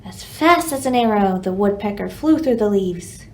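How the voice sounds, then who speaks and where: A woman hosting a library storytime, reading a children's picture book aloud in an expressive, dramatic way. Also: medium pitch, normal speed, slight background noise.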